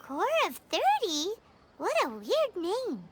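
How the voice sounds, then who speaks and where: A woman voicing a tiny, excitable, childlike fairy companion in a video game, curious and very expressive. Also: extremely high pitch, slow pace, heavily acted. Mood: eager, puzzled. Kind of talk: video game character acting. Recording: background music.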